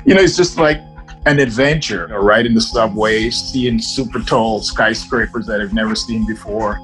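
A man's voice: lowish voice, moderate pace, a bit bright, soft background music, rough recording. A man from Barbados, talking casually and upbeat in an interview. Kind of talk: documentary interview.

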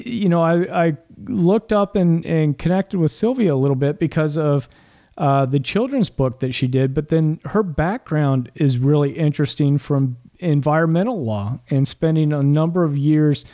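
A man's voice: on the high side, mellow. A man hosting a podcast interview who asks friendly, rambling questions. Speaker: podcast host. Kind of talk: casual interview conversation.

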